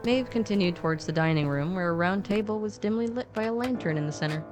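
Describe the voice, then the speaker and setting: A woman's voice: mid-range voice, lively intonation, fast talker, a little mellow, faint music behind. A woman co-narrating a fiction podcast, telling a scripted story in short, descriptive, conversational phrases.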